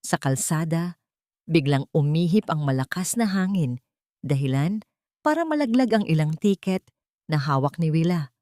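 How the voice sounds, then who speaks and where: Female narrator for a romance drama, lower-pitched, scripted dramatic storytelling, dry close recording. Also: animated, normal speed, warm tone, no room sound.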